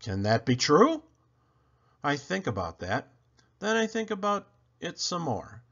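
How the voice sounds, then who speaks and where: A man reading a children's picture book aloud in an animated storyteller style, with dramatic, emphatic phrasing and playful swoops. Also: on the high side, unhurried, muffled recording.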